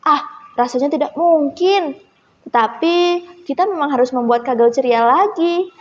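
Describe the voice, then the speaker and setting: A young female storyteller voicing concerned, gossiping village friends in puzzled, hushed asides. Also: sits high, normal speed, natural voice. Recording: muffled echoey hall recording.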